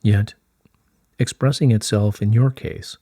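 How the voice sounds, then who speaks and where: Serene deep-voiced light being, read by a man. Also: steady tone, warm tone, no room sound, studio quality. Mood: serene, explanatory. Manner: measured, stately, archaic formal phrasing. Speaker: reader of channelled messages. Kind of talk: formal, scripted channelled-message reading.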